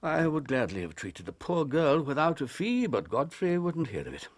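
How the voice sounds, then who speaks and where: An actor playing a grave, proud, compassionate older English doctor in a radio drama, speaking in an earnest, formal, emphatic way. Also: male voice, fairly high pitch, wildly swooping pitch, moderate pace. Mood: sombre grief. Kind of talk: British radio drama acting.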